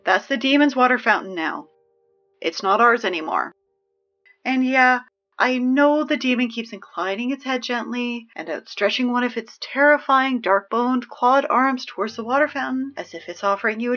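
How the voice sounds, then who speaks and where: High-voiced, flustered teenage announcer. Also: female voice, animated, moderate pace, fairly bright tone, muffled recording, faint music behind. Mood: exasperated. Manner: hesitant, pleading, conversational rambling. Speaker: morning announcements host. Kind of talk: audio drama, casual school PA announcements.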